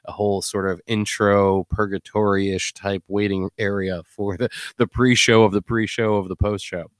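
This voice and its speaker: A man's voice: fairly low pitch, no echo, clean studio sound. A man hosting a live stream in a casual, rambling, joking way. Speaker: host.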